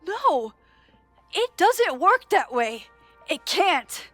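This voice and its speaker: An actress voicing an earnest, worried young woman in a naturalistic video game drama, speaking in a measured, hesitant way. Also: very high voice, big pitch swings, a bit bright, soft background music, exaggerated voice. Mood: desperate denial. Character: earnest, worried young woman, determined investigator with time-rewind knowledge.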